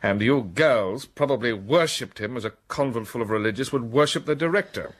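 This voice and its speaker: An actor playing an imperious gentleman in a radio drama, questioning curtly. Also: mid-range voice, brisk, lightly acted. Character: brusque, commanding gentleman of the manor.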